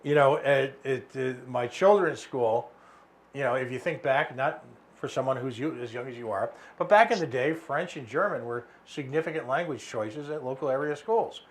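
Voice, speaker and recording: A man's voice: mid-range voice, normal speed. A middle-aged man hosting a radio talk show, telling anecdotes with emphasis.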